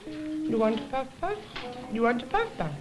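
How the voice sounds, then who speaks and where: Female nursery therapist: high, swooping sing-song delivery, short encouraging repetitions to a child, old film location sound. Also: sing-song, normal speed, a crowd in the background, poor audio. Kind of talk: coaxing speech to a young child.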